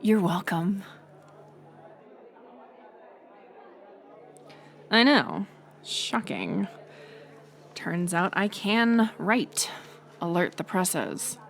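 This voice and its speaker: A young woman playing a mocking college bully in an audio roleplay, sarcastic and teasing, with a hidden softer side. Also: medium pitch, sing-song, slow, heavily acted. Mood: smug, sarcastic. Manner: sarcastic, teasing, scoffing with sighs and breathy asides. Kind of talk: audio roleplay acting, intimate one-sided dialogue.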